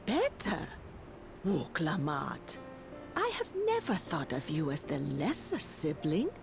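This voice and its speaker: An elderly, devoted nursemaid in a fantasy game. Her delivery is formal yet tender, with very lively intonation in a fairly low register, over clear music. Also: slow pace, exaggerated voice. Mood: tender, reassuring. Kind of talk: video game voice acting.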